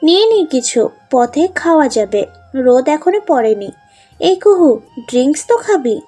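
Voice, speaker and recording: Woman playing a high-voiced, brisk big sister. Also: very animated, dark tone, soft background music. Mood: chirpy offering.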